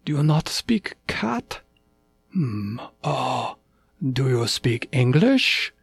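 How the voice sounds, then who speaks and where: An adult storyteller voicing a great tiger, a kindly and formal king of cats who speaks deliberately. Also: medium pitch, big pitch swings, gravelly, husky, exaggerated voice. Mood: puzzled, patient. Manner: formal, deliberate, gently regal.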